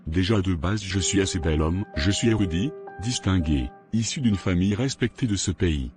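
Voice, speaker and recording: A man playing a haughty, boastful rich bachelor in an animated drama, with a pompous manner. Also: deep voice, moderate pace. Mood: smug. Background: music.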